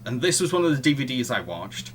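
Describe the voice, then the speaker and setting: Young man, podcast host, bright forceful voice, medium pitch, film discussion. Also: sing-song, fast talker, a little hiss or hum. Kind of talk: film discussion, partly read-out plot summary.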